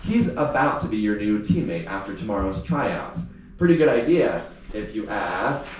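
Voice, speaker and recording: A man voicing a defiant, sarcastic teenage girl in a novel read aloud, snarky and quick to insult. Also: lowish voice, expressive, lightly acted. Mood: bold. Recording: echoey room, distant mic, faint noise.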